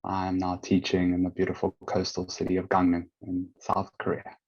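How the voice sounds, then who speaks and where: A young man from South Africa introducing himself on a panel, brisk and polite. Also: low voice, monotone, darkish tone, slightly rough. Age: young adult. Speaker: panelist, alumnus teacher. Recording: dull lo-fi call audio.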